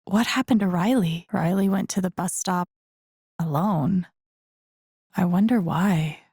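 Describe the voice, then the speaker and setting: A woman's voice: lowish voice, unhurried, mellow, breathy edge, no room sound, clean studio sound. An actress playing an empathetic young woman in a video game, thinking aloud in a casual, wry, understated way. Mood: wry, sympathetic. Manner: casual, wry, muttering to herself. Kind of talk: understated video game voice acting, interior monologue.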